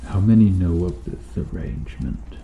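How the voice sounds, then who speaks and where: A man's voice: low voice, lively intonation, moderate pace, gravelly, narrow, tinny audio. A man playing a brooding, remorseful and protective medieval knight in an ASMR roleplay, speaking formally and solemnly with archaic phrasing. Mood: solemn devotion. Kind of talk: ASMR roleplay, slow dramatic acting addressed to the listener. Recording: roomy echo, faint background noise.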